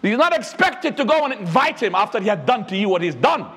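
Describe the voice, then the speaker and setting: A man, preaching a sermon as an imam, swinging between high and low. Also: big pitch swings, brisk, a bit bright, slightly rough. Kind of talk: Friday sermon. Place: mosque. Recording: echoey hall.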